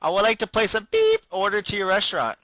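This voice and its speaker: A man putting on a bumbling prank caller who speaks haltingly in broken sentences with interjected beeps. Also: high voice, huge pitch swings, a bit bright, no room sound, heavily acted. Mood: eager, halting. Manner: halting, broken grammar, interjects the word beep. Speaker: prank caller placing a food order. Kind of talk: improvised prank phone call.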